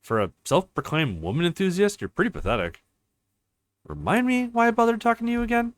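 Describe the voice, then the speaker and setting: A man voicing a sharp, sadistic woman strategist in a visual novel, curt and threatening in manner. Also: sits high, huge pitch swings, fairly quick, dry recording, clean studio sound, pitched above the performer's own voice. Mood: scornful. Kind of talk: reading visual novel dialogue.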